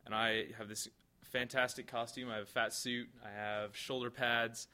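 A man's voice: mid-range voice, little pitch movement, moderate pace, pushed and bright. A teenage boy earnestly answering interview questions about his stage role. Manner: earnest, with fillers. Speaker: student actor.

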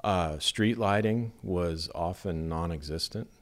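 Interview guest: deep-voiced middle-aged architect from Las Vegas, measured and thoughtful, lots of ums. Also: male voice. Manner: measured, thoughtful, with many fillers. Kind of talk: relaxed conversational interview.

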